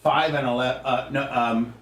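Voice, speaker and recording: A man's voice: medium pitch, fairly even intonation, moderate pace, fairly bright tone. A male radio host bantering casually and profanely in a blunt, self-deprecating way, picked up roomy and from a distance. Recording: roomy, distant, faintly noisy pickup.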